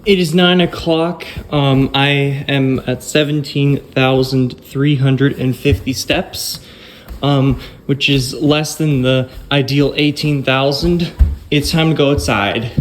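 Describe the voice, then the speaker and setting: A man's voice: medium pitch, fairly even intonation, slow pace, a little hiss or hum. A man vlogging casual updates on camera, upbeat and rambling, with fillers and restarts.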